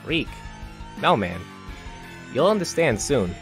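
A man's voice: medium pitch, sing-song, slow, a bit bright, faint music behind. A man voicing a cocky greaser drifter who is secretly a sinister vampire, in a visual novel. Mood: sinister, taunting.

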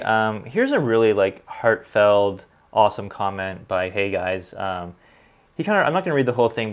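A man in his thirties with a Canadian accent talks in a relaxed, chatty way, filling gaps with ums and likes. His voice sits a little low. He speaks from a desk in a small home studio. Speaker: whiskey reviewer and channel host. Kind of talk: casual vlog, reading comments and tasting.

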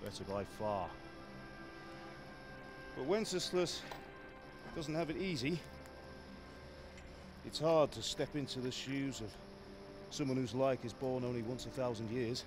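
A man's voice: mid-range voice, measured pace, long pauses, lightly acted. Man acting a stern village blacksmith father, music behind. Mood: reflective. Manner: fatherly, plain-spoken, admonishing. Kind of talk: video game cutscene acting.

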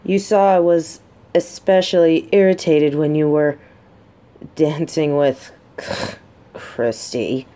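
Mellow, slow-talking military bodyguard woman acted by a woman. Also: deep voice, steady tone. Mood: jealous, irritated. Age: adult. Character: tough military lieutenant bodyguard woman, guarded, sarcastic, secretly vulnerable. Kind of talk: scripted roleplay audio drama, addressed to listener.

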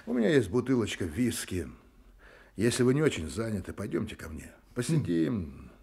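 An actor playing a cool, brisk, commanding male private detective in a radio drama, with a husky voice. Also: on the low side, very animated, darkish tone, full character voice. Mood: casual, probing.

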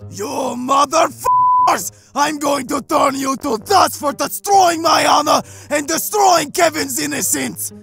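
Enraged, gravelly dragon. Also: male voice, very high voice, wildly swooping pitch, over loud music, pitched above the performer's own voice, heavily acted. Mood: furious. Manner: shouting, drawn-out threats. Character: enraged pompous male dragon head of three-headed monster.

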